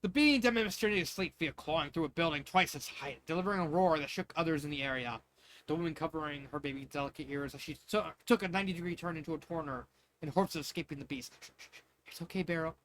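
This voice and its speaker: A man's voice: a little high, fast talker, a bit bright, a little hiss or hum, low-quality recording. A man narrating fan fiction in an informal, amateur way, stumbling over words with casual asides.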